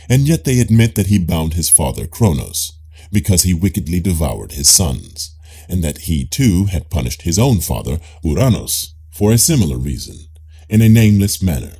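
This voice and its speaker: A very dark, gravelly voice from a man acting out a pious, self-assured Greek man in an audiobook dialogue. He declaims pompously, eager to prove himself, with pitch that swings widely through each phrase. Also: sing-song, normal speed, full character voice. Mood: self-assured, insistent. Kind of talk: dramatised audiobook reading of philosophical dialogue.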